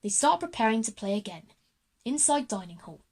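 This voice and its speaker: A woman's voice: a little high, very animated, a little fast, darkish tone, slightly rough. A woman narrating an audio drama, reading scripted stage directions.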